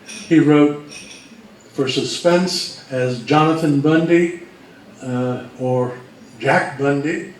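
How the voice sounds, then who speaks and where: A man's voice: a little high, extremely slow, long pauses. A man presenting history informally, in a hesitant, matter-of-fact storytelling way. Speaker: co-presenter of a talk on old-time radio history. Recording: echoey room from far off, faint noise.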